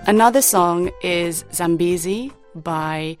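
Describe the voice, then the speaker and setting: A woman's voice: fairly low pitch, slow pace, over loud music. A woman with a British-Kenyan accent, a radio producer telling personal stories about songs. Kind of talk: scripted radio segment.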